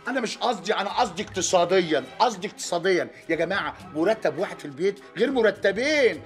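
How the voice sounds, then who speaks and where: An argumentative, flustered male office worker with an Egyptian accent in a TV comedy drama. His voice is high for a man and very quick. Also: animated, soft background music. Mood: flustered, protesting.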